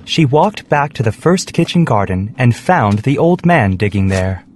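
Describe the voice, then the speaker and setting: A man's voice: medium pitch, sing-song, moderate pace, a little hiss or hum. A man narrating a scripted story for children.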